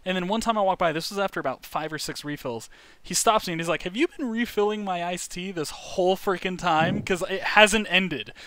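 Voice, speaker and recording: A young man casually telling a funny story to his viewers, animated, acting out the lines he quotes. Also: high voice, brisk, no room sound. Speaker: YouTube host.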